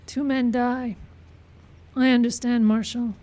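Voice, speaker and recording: A woman's voice: fairly high pitch, level tone, slow pace, dark tone. A woman playing a dignified, brave young daughter in a radio western, speaking in short, simple phrases. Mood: solemn. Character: dignified, courageous young Pawnee daughter of a prisoner. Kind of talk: live old-time radio western acting.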